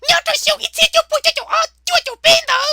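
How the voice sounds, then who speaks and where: A woman voicing an excitable, shrill comic cartoon character with a squeaky, creaky voice, prone to bursting into shouts. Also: rapid-fire, no room sound, heavily acted. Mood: agitated, shouting.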